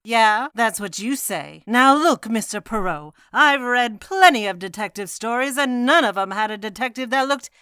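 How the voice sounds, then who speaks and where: Woman playing bright, feisty American miss. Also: on the high side, expressive, clean tone, no room sound, studio quality. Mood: indignant. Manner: brisk, tart, defensive. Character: feisty, indignant, unflappable American single woman. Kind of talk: old-time radio mystery acting.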